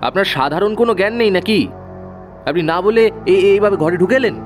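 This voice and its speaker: A man's voice: sits high, a little fast, close to an everyday voice. A man playing a sensible, firm young investigator with a questioning manner in an audio horror story. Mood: angry. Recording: music under the voice.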